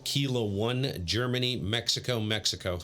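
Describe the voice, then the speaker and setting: A man's voice: mid-range voice, moderate pace. A man live-streaming amateur radio, chatting casually and muttering to himself between call-outs. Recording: clear background radio noise.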